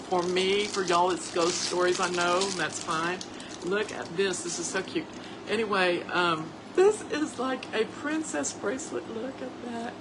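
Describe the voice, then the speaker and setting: A hoarse woman chats easily and warmly, talking to her audience as if they were her own relatives. Her delivery is loose and conversational, with homespun asides. The audio has a clear layer of background hiss. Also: medium pitch. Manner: chatty, folksy, addresses listeners as family. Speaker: host. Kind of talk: casual livestream chat.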